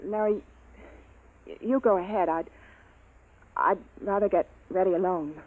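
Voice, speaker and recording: A woman's voice: mid-range voice, a little slow, slight background noise, natural voice. An actress playing a decisive woman military commander in a 1950s radio drama style. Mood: hesitant, afraid. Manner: commanding, clipped orders. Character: female military base commander, decisive and authoritative. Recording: muffled, tinny vintage radio recording.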